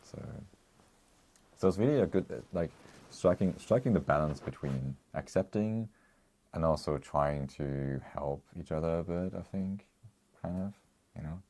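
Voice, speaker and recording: Very deep-voiced man chatting casually. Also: measured pace, darkish tone. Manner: rambling, chuckles often, restarts. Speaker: co-host. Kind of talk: casual livestream chat reading comments.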